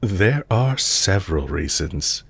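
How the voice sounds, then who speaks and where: A man playing a calm, courteous villain leader in an audio roleplay, measured, formal and politely condescending, with quiet menace and amusement. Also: deep voice, gravelly, breathy edge, heavily acted. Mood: smooth, amused. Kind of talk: audio roleplay, intimate scripted acting addressed to listener.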